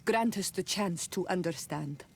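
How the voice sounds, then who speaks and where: A woman's voice: on the low side, measured pace, gravelly, darkish tone, husky, exaggerated voice. An actress playing a respectful, curious female companion in a fantasy video game, speaking in an earnest, deferential way. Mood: earnest pleading. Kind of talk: video game character acting.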